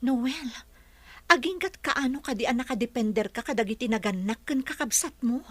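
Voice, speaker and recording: A woman's voice: on the high side, normal speed, dry recording, lightly acted. An actress playing a firm, doubtful young working woman in a radio drama, with a Filipino accent. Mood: firm, troubled.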